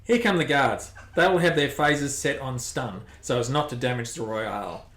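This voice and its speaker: A man's voice: medium pitch, normal speed, a bit bright, lightly acted. A man playing an easygoing, confident male smuggler in an echoey amateur radio play.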